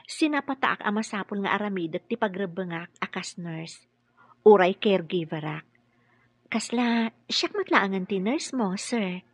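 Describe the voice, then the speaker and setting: An actress playing a dutiful, deferential nurse and mother in a radio drama, husky, earnest and respectful. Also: medium pitch, animated, a little slow, no echo. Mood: gentle, earnest.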